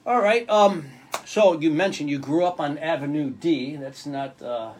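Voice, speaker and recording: A man's voice: a little high, a little slow, darkish tone, a little hiss or hum. A man hosting a gospel radio interview show in a studio, affirming his guest with frequent amens. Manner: affirming, peppers talk with amens. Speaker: pastor and show host.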